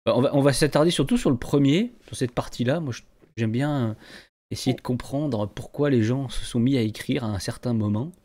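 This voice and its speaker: A man's voice: mid-range voice, moderate pace, mellow. A man hosting a podcast in a casual, colloquial way. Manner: informal, colloquial. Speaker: podcast host.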